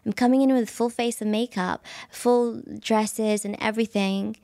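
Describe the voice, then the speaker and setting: Brief: thirties woman, soft gravelly voice, dry close sound, chatty animated storyteller. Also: fairly high pitch, normal speed, no room sound. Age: 30s. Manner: animated storyteller, frequent fillers and laughs. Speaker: guest. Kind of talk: casual interview chat.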